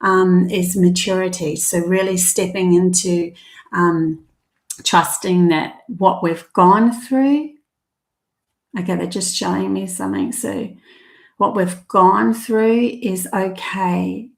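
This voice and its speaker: A woman's voice: lowish voice, animated, takes it slowly, creaky, roomy sound. A woman from New Zealand with a mixed New Zealand and Australian accent, giving a live tarot reading in a rambling, hesitant way with many ums. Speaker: tarot reader.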